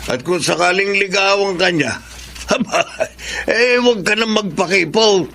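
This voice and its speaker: A man playing a nosy, scheming middle-aged father in a Filipino radio drama, gravelly, blunt and probing. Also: high voice, unhurried, pushed and bright, slight background noise, heavily acted. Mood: sly, urging. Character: nosy, scheming father pushing his daughter to romance.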